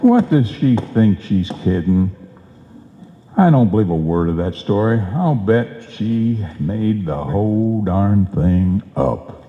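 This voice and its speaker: In an echoey, muffled hall recording, a man acts out a folksy, blustering husband from a vintage radio comedy. His voice sits fairly low, and he talks in slangy exclamations like a born teller of tall tales. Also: lively intonation, slow. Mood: grumbling. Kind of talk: old-time radio comedy acting before a live audience.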